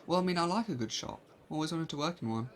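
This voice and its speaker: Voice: medium pitch, lively intonation, fast, lightly acted. A performer playing an eccentric, cheerful time traveller at a job interview, playful and rambling, in a fan audio drama. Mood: cheerful, sheepish.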